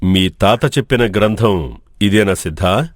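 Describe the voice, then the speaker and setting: Low-voiced man as a doubtful village elder. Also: animated, measured pace, no room sound, clean studio sound. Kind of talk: animated moral story dubbing.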